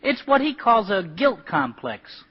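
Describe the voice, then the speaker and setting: An actor playing a naive, good-hearted, downcast young working-class fellow in a 1940s radio drama, simple and hesitant in speech. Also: male voice, high-pitched, big pitch swings, a little slow, husky, full character voice. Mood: glum, naive. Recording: muffled 1940s radio broadcast.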